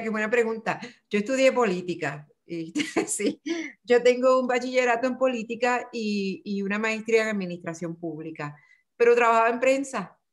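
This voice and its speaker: Older Puerto Rican woman, reflective. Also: medium pitch. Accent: Puerto Rican Spanish. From Puerto Rico. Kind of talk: remote interview conversation.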